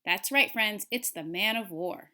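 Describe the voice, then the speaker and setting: A woman teacher reading a nature book aloud to children, enunciating clearly and expressively and putting questions to her young listeners. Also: mid-range voice, unhurried, pushed and bright. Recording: echoey, roomy sound.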